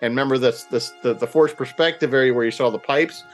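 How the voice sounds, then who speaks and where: A man's voice: medium pitch, fast, faint music behind. A man on a radio panel explaining fine details in a casual fan discussion.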